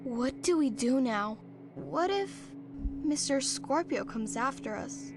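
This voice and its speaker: A woman's voice: high voice, lively intonation, slow pace, music in the background. A woman playing a small, anxious young girl hero in a space audio drama, guileless and plaintive. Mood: worried.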